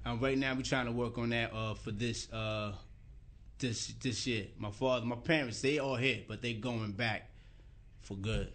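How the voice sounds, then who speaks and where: A man's voice: medium pitch, fairly even intonation, normal speed, a bit bright. A man from Liberia raised in Brooklyn, talking as a musician guest in a casual radio interview, explaining things in slangy, conversational terms. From born in Liberia, raised in Brooklyn.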